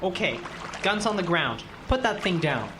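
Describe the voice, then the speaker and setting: A man's voice: sits high, big pitch swings, moderate pace. A man playing a belligerent, suspicious armed countryman in a radio play, with folksy, ungrammatical talk. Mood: wary, evasive. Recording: loud water noise behind the voice. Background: loud lapping water outdoor ambience.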